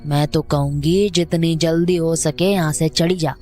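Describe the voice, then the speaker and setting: A woman's voice: deep voice, fairly even intonation, measured pace, dark tone, soft background music. A woman playing a wary older village caretaker, folksy and cautioning, in a horror audio drama. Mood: ominous warning.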